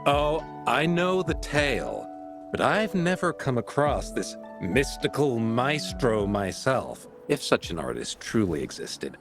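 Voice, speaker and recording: A man's voice: medium pitch, big pitch swings, a little slow, music in the background. An actor voicing a teasing, talkative male pigment merchant in an anime-style game, with a chatty, playful and familiar manner. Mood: breezy, musing.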